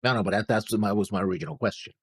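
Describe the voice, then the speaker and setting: A man's voice: fairly low pitch, fairly even intonation, rapid-fire, slightly rough, no echo, studio quality. A man co-hosting a casual reaction discussion, thinking aloud and posing questions. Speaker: reaction show co-host.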